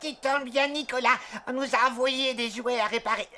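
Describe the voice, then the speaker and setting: An easygoing little male elf from a children's cartoon, voiced by a woman. The voice is fairly high and casual, slightly hesitant, against loud background noise. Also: steady tone, normal speed, natural voice. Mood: casual, friendly. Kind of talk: French animated children's cartoon dubbing. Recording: loud noise and ambience behind.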